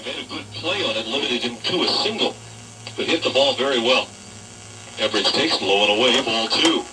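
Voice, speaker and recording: A man's voice: mid-range voice, bright, forceful tone, distant mic. A man calling baseball play-by-play as live sports commentary on the radio. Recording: noisy AM radio broadcast from off.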